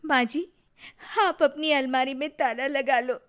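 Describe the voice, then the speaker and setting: A woman voicing a meek, soft-spoken young bride in a cartoon story. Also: high-pitched, steady tone, unhurried.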